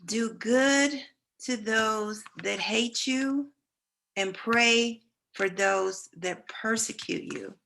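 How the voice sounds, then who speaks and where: A woman playing a tender, solemn mother giving her son a last farewell, speaking slowly and deliberately in a read-through. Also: a little high, gravelly, sharp tone, slight background noise, exaggerated voice. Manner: slow, deliberate, solemn delivery.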